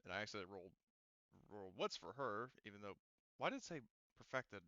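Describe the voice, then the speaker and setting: A man's voice: on the low side, very animated, brisk, pushed and bright, no echo, lo-fi sound. A man running a tabletop roleplaying game as game master, casually thinking aloud as he works through the dice results.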